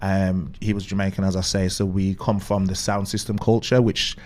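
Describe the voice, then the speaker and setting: A man's voice: low voice, flat delivery, moderate pace, a little mellow. A man chatting casually as an interview guest, explaining things in a rambling way. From UK, West Midlands of England. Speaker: podcast guest, DJ.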